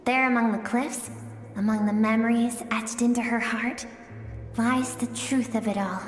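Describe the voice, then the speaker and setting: Brief: woman as a soft-spoken dreamlike spirit, a touch high, echoey game cutscene. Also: fairly even intonation, a little slow, close to an everyday voice. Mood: gentle. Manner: soft, gentle, reflective. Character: calm mysterious young woman spirit of an inner world. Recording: echoey dreamlike reverb under music.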